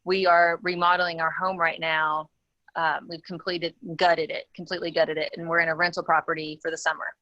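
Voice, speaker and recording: Bright-voiced, even, lowish woman on a call. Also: brisk, dry recording, dull audio. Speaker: guest participant on the call. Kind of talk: conversational, reflective account. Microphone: conference call.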